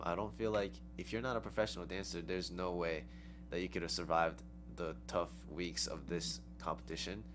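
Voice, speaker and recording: A young woman dancer answers in loose, rambling sentences packed with "like" and "um" and broken up by giggles. Her voice sits very low, and a clear steady hum runs behind her. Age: young adult. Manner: rambling, heavy on "like" and "um", with giggly laughs. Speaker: interview guest, dancer. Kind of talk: casual interview answers.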